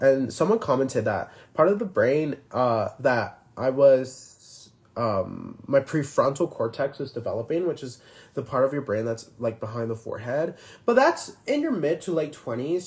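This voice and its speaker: A twenty-year-old man hosting a casual solo podcast, rambling and filler-heavy, constantly restarting his sentences. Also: mid-range voice. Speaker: podcast host.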